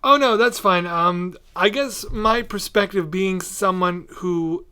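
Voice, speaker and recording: Clear, higher-voiced man, casual host. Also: slow pace, sharp tone. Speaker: podcast host. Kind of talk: casual interview chat.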